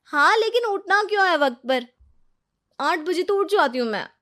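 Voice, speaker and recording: A woman voicing an exasperated, sharp-tongued young housewife in a cartoon, complaining and answering back indignantly. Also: very high voice, expressive, normal speed, voice pushed up.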